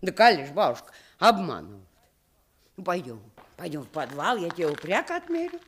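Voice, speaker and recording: A woman's voice: lowish voice, big pitch swings, fairly quick, husky. A woman playing a fond, bustling old village grandmother in a radio play, coaxing in folksy rural Russian dialect. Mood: fond, soothing.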